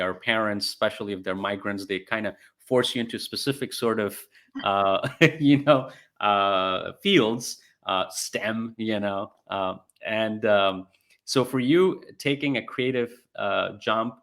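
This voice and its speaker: A man's voice: fairly low pitch, fairly bright tone. A man hosting an interview over a video call, hesitant with many fillers between phrases. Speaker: podcast host.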